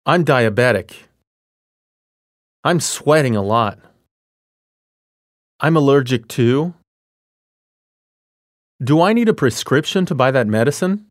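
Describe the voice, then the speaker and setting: Slow, measured man reading lesson lines. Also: medium pitch, lively intonation, dry recording, studio quality. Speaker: language lesson voice actor. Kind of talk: scripted role-play and phrase reading.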